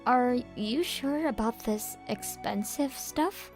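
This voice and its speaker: A humble young mother in a manga dub acted by a woman. Her voice is fairly high, meek and hesitant, always apologetic. Light music sits behind her. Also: takes it slowly.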